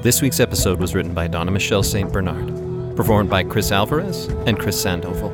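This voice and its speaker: Deep-voiced man reading credits over music. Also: quick pace. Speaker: presenter and sound designer. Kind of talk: scripted podcast introduction and credits. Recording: loud music under the voice. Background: loud theme music.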